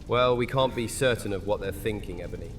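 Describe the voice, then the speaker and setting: A man playing a gentle, soft-spoken vampire companion, reassuring and slyly witty, in a horror audio drama. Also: fairly low pitch, fairly quick, close to an everyday voice. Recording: reverberant hall with faint music.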